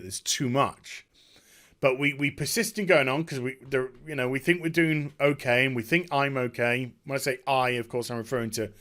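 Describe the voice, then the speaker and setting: A man hosting a gaming video, giving a casual, unscripted recap in a halting, digressive way and often correcting himself. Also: medium pitch, a bit bright.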